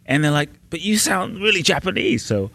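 A man's voice: high voice, very animated, fairly quick, creaky, bright, forceful tone, husky, dry recording. A man from Ghana, a personal development coach, talking as an interview guest, playful and then earnest. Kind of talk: casual interview.

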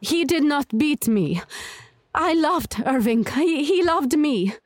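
An actress in a 1940s radio drama playing a meek wife on the witness stand who halts and protests. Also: female voice, high voice, animated, no room sound. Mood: pleading. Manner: halting, protesting denials.

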